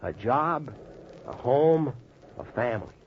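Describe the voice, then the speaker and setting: An actor playing a plain-spoken, heartfelt American storyteller in 1940s radio-drama style, with a folksy, emphatic manner. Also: male voice, medium pitch, lively intonation, takes it slowly. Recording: noisy, dull 1940s radio recording.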